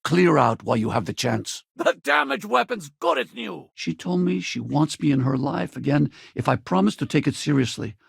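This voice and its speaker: An actor playing an easygoing, gruff middle-aged spacefaring militia veteran and regretful father in a video game, with a gravelly voice and a casual, folksy, wry manner. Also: on the high side, sing-song, normal speed, hardly any pauses, no room sound, studio quality, full character voice. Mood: matter-of-fact, then combat shouting, urgent, then earnest, hopeful. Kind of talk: video game companion dialogue.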